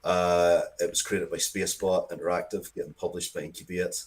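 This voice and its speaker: Guy with a low voice, casual interview chat, kinda rambly, keeps restarting what he's saying. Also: level tone, fairly quick. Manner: rambling, self-interrupting, restarts sentences often. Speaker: interview guest, comics and game writer.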